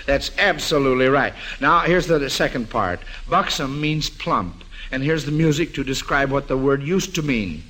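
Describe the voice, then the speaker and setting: A man's voice: medium pitch, animated, normal speed, few pauses, pushed and bright, a little hiss or hum. An American man hosting a 1940s-style radio quiz, addressing child contestants in an avuncular way on an old broadcast recording. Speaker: quiz show host.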